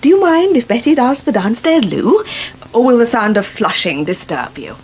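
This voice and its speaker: An actress playing a sarcastic, well-to-do English wife with an upper-middle-class accent in a radio soap. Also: female voice, a little high, very animated, normal speed, darkish tone. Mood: arch. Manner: arch, sarcastic. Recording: slightly noisy 1980s radio broadcast.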